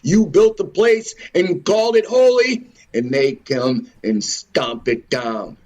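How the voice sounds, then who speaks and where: Male commentary host, high register, slow delivery, impassioned and sweary, given to repetitive rhetorical rants. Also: a little mellow, dull audio. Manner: ranting, repetitive, rhetorical questions, cursing. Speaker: host of a religious and historical commentary show.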